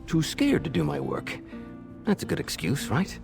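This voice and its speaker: A man's voice: fairly high pitch, wildly swooping pitch, normal speed, gravelly, over music, full character voice. A man playing a skittish inn cleaner in a fantasy game, complaining and making excuses to get out of work. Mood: anxious, sly. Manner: complaining, self-justifying.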